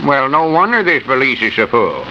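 A stubborn, level-headed Southern country fellow in an old radio comedy. His mid-pitched voice is folksy and plain-spoken, heard through a dull, clearly noisy vintage recording. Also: very animated, normal speed, bright, forceful tone. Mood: exasperated. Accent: rural Southern US. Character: stubborn, sensible, exasperated rural country man. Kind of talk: 1940s radio comedy acting.

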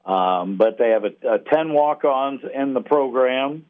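A man heard over a thin, phone-like radio line, giving sports analysis as a guest announcer. His pitch sits mid-range, and he talks haltingly, pausing in the middle of thoughts. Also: lively intonation. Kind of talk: sports interview, analytical talk.

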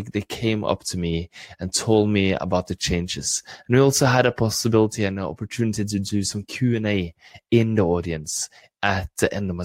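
A man's voice: fairly low pitch, no echo. A man with a Norwegian accent giving an enthusiastic motivational live broadcast talk as a coach trainer. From Norway.

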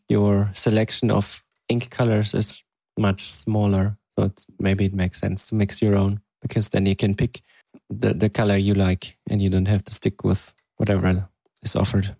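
A man's voice: lowish voice, flat delivery, normal speed, gravelly, mellow, dry recording, poor audio. A man co-hosting a podcast in casual conversation, with hesitant, thoughtful phrasing. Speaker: podcast co-host. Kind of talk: casual chat.

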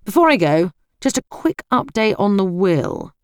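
A woman's voice: mid-range voice, sing-song, moderate pace, clear, resonant tone, no room sound, clean studio sound, voice pushed up, lightly acted. A British woman voicing a smarmy, self-important middle-aged uncle in an audiobook, brusque and offhand.

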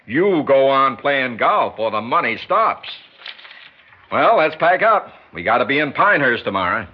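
An actor playing a slick, pushy sports manager in a 1960s radio drama, brisk and persuasive in a folksy salesman's way. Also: medium pitch, very animated, lightly acted. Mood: smooth persuasion. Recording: muffled, faintly noisy 1960s radio recording.

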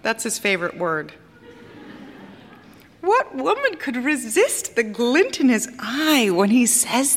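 An actress playing a vain, capricious opera singer in a theatrical monologue, speaking grandly with dramatic pauses, both scornful and adoring. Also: female voice, medium pitch, pushed and bright, a little hiss or hum, full character voice. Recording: reverberant hall stage recording.

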